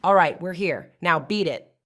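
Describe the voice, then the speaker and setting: A woman playing a sassy, casual seventeen-year-old girl driver in an animated comic dub. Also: fairly low pitch, normal speed, noisy background, close to an everyday voice.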